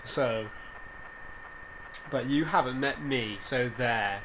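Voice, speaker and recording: A man's voice: mid-range voice, slow, a bit bright, poor audio. A man hosting a casual video-call chat, joking around and teasing his guest. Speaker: vidcast host. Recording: noticeable background noise.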